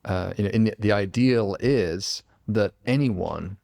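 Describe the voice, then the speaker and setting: A man's voice: on the low side, measured pace, creaky, a little mellow. A man hosting a podcast, talking in an earnest, reflective way in a casual conversation. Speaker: podcast host.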